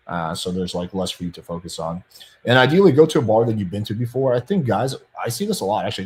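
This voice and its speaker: A man with a deep voice giving blunt, profane dating advice in a casual panel chat, reading out viewer questions. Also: darkish tone.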